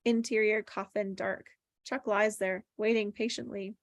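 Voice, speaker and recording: Woman reading script directions, plain delivery, some vocal fry, close dry sound. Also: mid-range voice, fairly even intonation, measured pace, no echo. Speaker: stage directions reader. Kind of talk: scripted narration at a table read.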